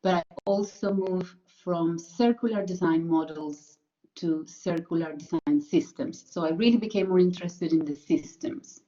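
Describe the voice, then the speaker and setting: A woman's voice: fairly low pitch, normal speed, warm tone. Colombian woman presenting research, lo-fi audio. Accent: Colombian Spanish. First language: Spanish. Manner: earnest, careful, with many fillers and self-corrections. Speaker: PhD researcher. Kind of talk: academic presentation.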